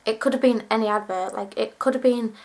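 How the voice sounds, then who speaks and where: A woman's voice: fairly high pitch, fast talker, darkish tone. A twenty-year-old woman vlogging to camera, chatting in a rambling, self-deprecating way while sick with a cold and cough. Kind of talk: casual chat to camera.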